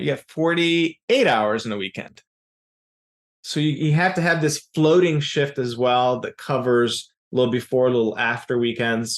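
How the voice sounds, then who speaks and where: A man in his forties whose first language is Serbian, answering interview questions in an explanatory way, often pausing on "uh" and restarting phrases. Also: medium pitch. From raised in Yugoslavia. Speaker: business founder as guest.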